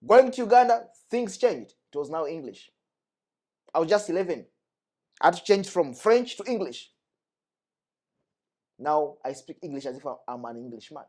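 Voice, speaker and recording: A man's voice: fairly high pitch, big pitch swings, normal speed, pauses often, mellow. A young man from the Democratic Republic of Congo, speaking earnestly and with emphasis as a guest, repeating his key points. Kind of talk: earnest discussion.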